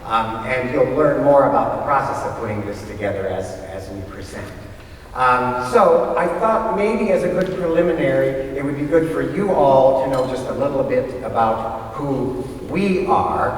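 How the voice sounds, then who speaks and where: An American man speaking as host of a stage event, introducing it informally in a halting, wry way. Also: mid-range voice, lively intonation. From rural Kansas, USA. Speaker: director and host of a readers theater. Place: large echoey room before a live audience. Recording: roomy echoing hall, distant mic, faint noise.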